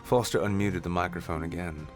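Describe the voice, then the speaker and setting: A man's voice: low voice, fairly even intonation, fairly quick, over music. A man narrating a scripted story as its narrator.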